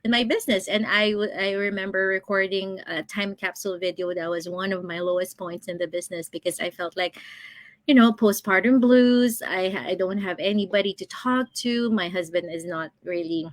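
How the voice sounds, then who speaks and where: A woman's voice: medium pitch, steady tone, moderate pace, dry recording. A woman in her thirties, a guest on an interview show, chatting casually about her own experience and laughing often between thoughts. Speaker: guest, online business coach.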